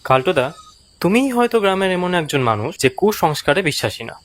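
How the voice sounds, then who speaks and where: A man's voice: high-pitched, very animated, quick pace, fairly bright tone. A man playing a bold, inquisitive young investigator in a horror cartoon, earnest in delivery. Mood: curious.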